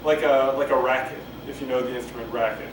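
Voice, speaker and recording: A man doing a casual cold reading of a play, halting, repeating phrases and musing aloud between lines, recorded on a camcorder. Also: mid-range voice, fairly even intonation. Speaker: amateur reader. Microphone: camcorder at a distance. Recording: roomy, distant camcorder mic, loud noise.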